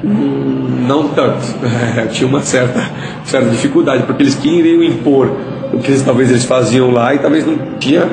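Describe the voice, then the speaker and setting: A man's voice: mid-range voice, brisk, vocal fry, music in the background. A man from Espírito Santo with a Capixaba accent, answering interview questions in an explanatory way and often tagging sentences with "né?". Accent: Capixaba Brazilian Portuguese. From Espírito Santo, Brazil. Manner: explanatory, frequent "né?" tags. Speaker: interviewee, political candidate. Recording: echoey with background ambience.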